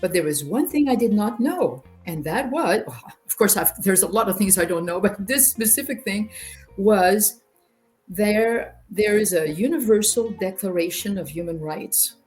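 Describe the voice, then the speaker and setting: French-speaking Canadian woman telling her story, halting. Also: medium pitch, expressive, darkish tone, faint music behind. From rural Ontario near Quebec, Canada. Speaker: interview guest, human rights youth organisation director. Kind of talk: conversational personal storytelling in an interview.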